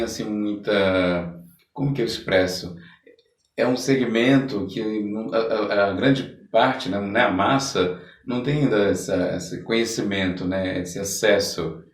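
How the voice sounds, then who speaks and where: Brazilian man, slightly deep voice, casual interviewer, halting phrasing, distant mic. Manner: halting, searching for words, repeats phrases. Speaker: podcast host. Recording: roomy echo, distant from the mic.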